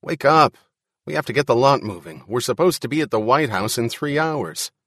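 A man's voice: mid-range voice, expressive, normal speed, fairly bright tone, no echo, clean studio sound, voice pushed up. A man voicing a dogged, practical male musician in an audiobook, curt and insistent. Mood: urgent.

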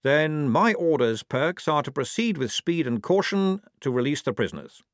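A nervy young officer with a thin, quavering voice that rides far above the reader's own, fussy and prim; a man acts him out. The reading swings about in pitch and sounds close and dry. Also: big pitch swings, normal speed, no echo.

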